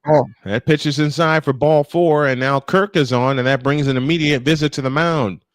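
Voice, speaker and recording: A man's voice: on the high side, steady tone, normal speed, no echo, clean studio sound. Man calling a live baseball game. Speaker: play-by-play baseball commentator. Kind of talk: live sports commentary with casual banter.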